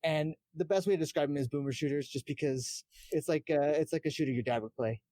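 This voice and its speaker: A man's voice: fairly high pitch, fast talker, dark tone, dry recording. A man in casual gaming chat as a podcast guest, explaining things in a rambling way. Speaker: podcast guest, game streamer.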